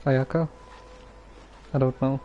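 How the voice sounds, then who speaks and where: Male game streamer, mid-pitched and mellow, casual halting commentary with a musing, hesitant delivery. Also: level tone, highs cut off, slight background noise.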